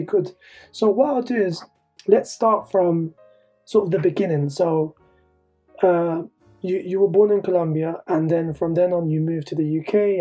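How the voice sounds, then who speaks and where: A man hosting a podcast interview, asking questions in a relaxed, encouraging way. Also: fairly high pitch, creaky, husky, poor audio. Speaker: podcast host. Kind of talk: casual interview.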